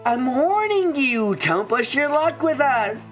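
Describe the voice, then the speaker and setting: A woman's voice: high-pitched, very animated, slow pace, heavily acted. A woman voicing cruel, mocking teenage girl bullies in a fan dub. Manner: mocking, bullying. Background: music.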